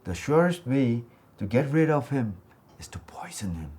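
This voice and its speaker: A man's voice: mid-range voice, very animated, moderate pace, dark tone, slightly rough. A man voicing a sinister, gloating ghost who schemes to kill in a folktale. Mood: scheming, hushed. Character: menacing bloodthirsty male ghost, scheming to kill. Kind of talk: folktale storytelling.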